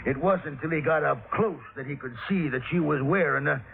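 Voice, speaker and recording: An actor playing an irascible, bullying middle-aged businessman from the American South in a 1950s radio drama, gruff, sputtering and repeating his words. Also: on the high side, moderate pace, slight background noise. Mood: fuming. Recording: old radio recording, narrow phone-like band.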